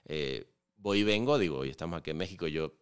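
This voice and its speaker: Low-voiced Venezuelan man, clear and smooth. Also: fast. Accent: Venezuelan Spanish. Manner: relaxed, many fillers and restarts, frequent rhetorical "¿no?". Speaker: podcast guest, filmmaker. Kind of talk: casual interview conversation.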